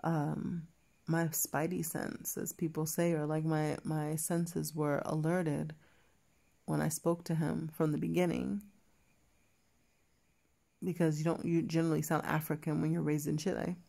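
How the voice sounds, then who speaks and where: A woman with a low, gravelly voice recounts a personal story in a relaxed, chatty way. Her tone is dark and mellow, and she often restarts her sentences and stops to quote what was said. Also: a little slow. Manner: chatty, many fillers and restarts, quotes others in dialogue. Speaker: vlogger. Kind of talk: casual storytelling to camera.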